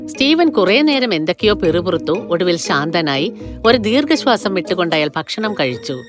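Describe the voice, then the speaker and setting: A woman's voice: lowish voice, big pitch swings, moderate pace, over music. A woman narrator reading a scripted fairy tale for a children's cartoon.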